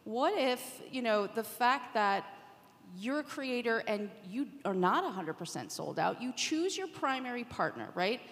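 A woman with a fairly high voice explains her ideas on a conference panel. She poses rhetorical questions and often checks that people follow. The room gives her voice a long reverb. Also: very animated, a little slow, a bit bright. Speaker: media executive, panelist. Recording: echoey hall, long reverb.

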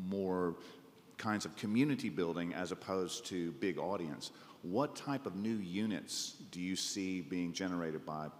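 A man asking a question from the audience at a conference panel. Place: large indoor conference venue. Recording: echoey hall with faint low rumble.

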